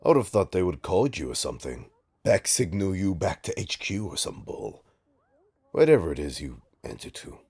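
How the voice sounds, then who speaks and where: A man playing a bitter, taunting supervillain in an audio roleplay, with a raspy, gravelly voice and a sardonic, theatrical, halting delivery. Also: low voice, sing-song, darkish tone, exaggerated voice. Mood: sneering, irritated. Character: menacing, taunting male supervillain, bitter, grudgingly rehabilitating. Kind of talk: scripted audio roleplay drama.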